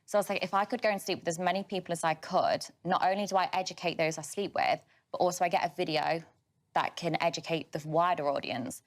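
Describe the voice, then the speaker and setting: A woman's voice: fairly low pitch, fast talker. A woman, answering interview questions in a matter-of-fact way. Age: 20s.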